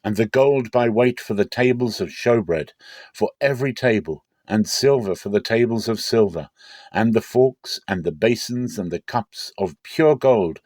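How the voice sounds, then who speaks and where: A man giving a dramatic scripted Bible reading in a solemn, deliberate, declamatory way. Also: fairly low pitch, darkish tone, no echo, clean studio sound. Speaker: Bible reader.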